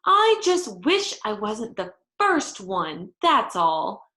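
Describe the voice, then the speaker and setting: A woman's voice: medium pitch, huge pitch swings, takes it slowly, bright, forceful tone, exaggerated voice. A woman voicing a chatty, stubborn first-grade girl from a children's storybook, with childish, emphatic delivery. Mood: wistful. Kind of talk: children's storybook read-aloud. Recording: echoey room.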